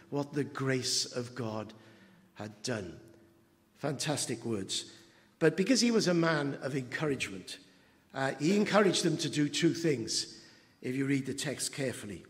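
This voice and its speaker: A man, preaching an anniversary sermon as pastor, halting with fillers and building to emphatic moments. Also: medium pitch. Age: 70s. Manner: slow, halting with many fillers, rising to emphatic. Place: church hall. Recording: long church reverb.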